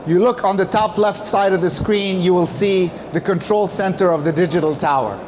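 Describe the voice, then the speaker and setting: High-pitched man presenting, noisy background. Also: fairly even intonation, normal speed. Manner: emphatic, explanatory, poses questions and answers them. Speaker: company presenter. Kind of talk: prepared conference-style presentation talk.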